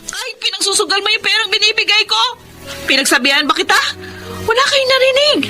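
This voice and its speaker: An actress playing an exhausted young breadwinner daughter in a Filipino radio drama, emphatic and pleading. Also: female voice, very high-pitched. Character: exhausted, fed-up breadwinner daughter, working woman.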